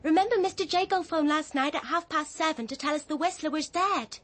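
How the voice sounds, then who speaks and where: An actress in a radio drama playing an anxious, dutiful young daughter, earnest and hesitant. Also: female voice, sits high, brisk, fairly bright tone.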